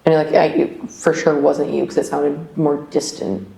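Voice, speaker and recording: Young woman co-host, low, gravelly voice with vocal fry, relaxed filler-heavy explanations. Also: normal speed. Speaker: paranormal investigator, co-host. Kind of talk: casual chat on a ghost hunt. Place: old basement room with echo. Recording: echoey basement room.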